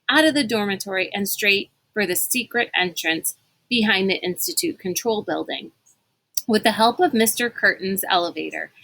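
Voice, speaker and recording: Woman, bright clear-cut tone, mid-range pitch, expressive storybook reader, dramatic delivery. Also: rough recording. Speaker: reader of a read-aloud book.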